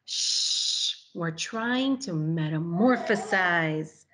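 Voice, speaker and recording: A fed-up, bossy caterpillar from a picture book, as a woman reader puts it on, scolds in curt bursts. The pitch swings widely and words get stretched for emphasis. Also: animated, extremely slow, noisy background. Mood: exasperated. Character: exasperated, bossy fellow caterpillar urging patience.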